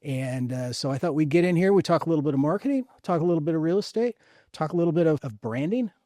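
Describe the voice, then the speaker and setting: A man's voice: on the high side, expressive, fast, dark tone, dry recording, clean studio sound. A man hosting a conversational podcast interview, upbeat and encouraging, repeating phrases for emphasis.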